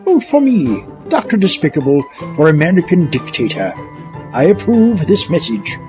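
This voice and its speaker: Man acting a high-voiced, condescending supervillain. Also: big pitch swings, normal speed. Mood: haughty, contemptuous. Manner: pompous, condescending. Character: haughty, condescending male supervillain running for dictator. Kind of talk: comedy audio drama. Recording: dull lo-fi, faint music behind.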